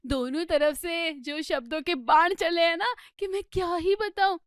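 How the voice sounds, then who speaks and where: A woman playing an excitable, gossipy, scolding middle-aged housewife and mother in a comedy story, with a dramatic, exclamatory delivery. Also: very high-pitched, a little slow, dry recording, clean studio sound. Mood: gossipy, excited.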